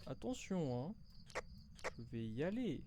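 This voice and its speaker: A man's voice: fairly high pitch, big pitch swings, unhurried. A man chatting casually and joking with teammates while playing a game. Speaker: gamer streamer. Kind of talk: casual gaming commentary. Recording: loud background noise.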